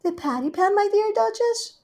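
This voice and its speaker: A woman voicing a prim, genteel female cat hostess in a storybook reading, high and polite. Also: animated, moderate pace, a little mellow.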